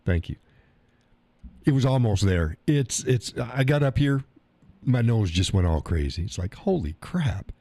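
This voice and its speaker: A man's voice: mid-range voice, big pitch swings, unhurried, warm tone. A man with a stuffy nose, hosting a casual live stream, rambling in a folksy way and reading chat messages aloud. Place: home workshop room.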